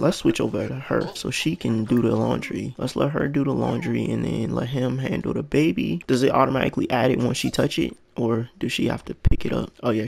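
A man with a raspy, deeply gravelly voice talks his way through a game at his desk. He thinks aloud, second-guesses himself and perks up when things work. Faint music plays underneath. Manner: thinks aloud, excited and unsure. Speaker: gaming channel host. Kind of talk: casual game commentary.

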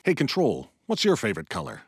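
An actor voicing an urbane, wry gentleman heist criminal in a video game, theatrical and refined with a villainous flair. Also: on the low side, huge pitch swings, fast, husky, no room sound, studio quality, exaggerated voice. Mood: calm, dry. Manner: theatrical, refined, dryly witty. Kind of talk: video game character barks, theatrical acting.

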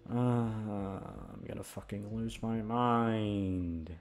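A young man chatting casually in a rambling outro, halting and aimless, swearing freely and putting himself down. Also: lowish voice, steady tone, a little mellow, soft background music. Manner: aimless, halting, profane, self-deprecating. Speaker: audio voice actor, channel host.

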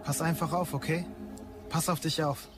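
An actor playing a creepy, insistent street peddler with cryptic talk in a sci-fi audio drama. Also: male voice, a little high, level tone, normal speed, music in the background, close to an everyday voice. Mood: cryptic warning.

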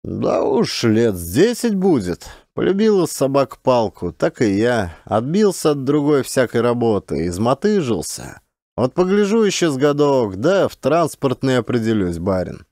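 Audiobook part: blunt rustic idler, acted by a man, folksy grumbler with very lively intonation. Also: mid-range voice, moderate pace, no room sound, studio quality. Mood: grumbling, self-justifying. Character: beardless round-faced peasant man, brash idle forest-post teaser.

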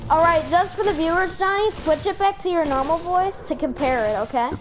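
A woman giving casual gameplay commentary as a host, in an excitable, giggly manner. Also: very high-pitched, fairly quick, background noise. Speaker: host, gaming YouTuber.

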